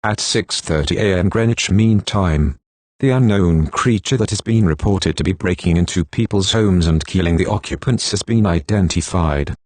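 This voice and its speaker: A man's text-to-speech voice serves as an emergency alert announcer. It sits low and reads formally from a script. The sound is close and dry, with no room around it. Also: normal speed, darkish tone, no echo. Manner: formal, synthetic delivery.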